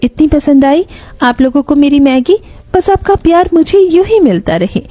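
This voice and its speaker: A kind young daughter-in-law in a cartoon moral tale, played by a woman. She is polite and reassuring, with a slightly high, mellow voice. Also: expressive, moderate pace, dry recording, faint music behind. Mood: grateful. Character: kind, diligent young daughter-in-law running a noodle stall.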